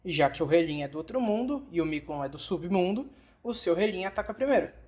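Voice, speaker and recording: A woman's voice: on the low side, expressive, a little fast, darkish tone. A woman with a Brazilian Portuguese accent reading scripted instructional narration, explaining directly to the listener as in a fan-dubbed tutorial.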